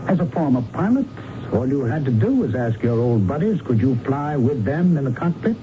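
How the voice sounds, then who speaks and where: An actor playing a confident American investigator in a radio drama, deliberate and smugly accusing. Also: male voice, medium pitch, very animated, natural voice. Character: confident male American investigator confronting a captured criminal. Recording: muffled, noisy vintage radio broadcast.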